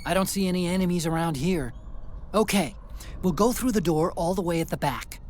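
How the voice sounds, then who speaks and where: An actor playing an earnest, excitable, chatty tech sidekick in a video game. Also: male voice, sits high, brisk, slight background noise, lightly acted. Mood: businesslike. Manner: chatty, animated, teasing. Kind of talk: video game cutscene acting.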